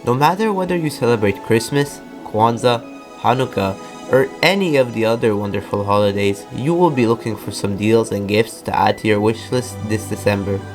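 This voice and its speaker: A teenage man reading scripted narration as the presenter of a high school show. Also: moderate pace. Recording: music playing under the voice.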